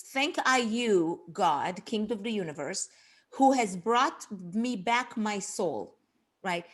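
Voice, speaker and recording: A middle-aged woman from Israel with an Israeli accent, chatting as a podcast guest in an expressive, laughing way. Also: mid-range voice. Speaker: guest, life coach. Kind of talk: casual podcast chat.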